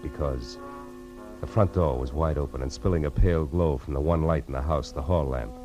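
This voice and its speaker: A wisecracking noir private eye from a 1940s radio drama. His voice sits very low, and he talks in clipped, sardonic slang. Faint music sits in the old recording. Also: mellow. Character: hard-boiled wisecracking male private detective. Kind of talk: 1940s radio acting with first-person noir narration.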